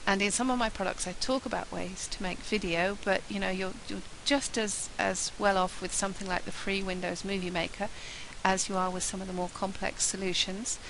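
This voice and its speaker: Woman, husky from flu, chatty and upbeat, medium pitch, faint hiss. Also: little pitch movement, a little fast, few pauses. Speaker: marketing presenter. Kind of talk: solo talk to camera.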